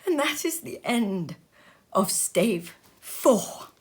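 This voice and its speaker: A woman reading a story aloud in an expressive, measured and dramatic narrating style. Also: on the high side. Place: sitting room at home.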